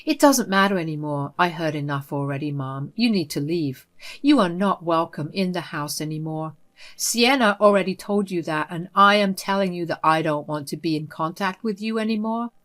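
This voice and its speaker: A woman's voice: lowish voice, moderate pace, a bit bright, close to an everyday voice. A woman voicing a firm, protective grown son who scolds sternly in a read-aloud story. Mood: stern angry.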